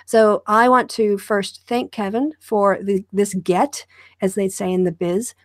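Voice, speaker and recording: A woman host leads a live online discussion in a measured, deliberate manner. Her pitch sits in the middle for a woman, and the sound is dry with little room to it. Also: fairly even intonation, no room sound.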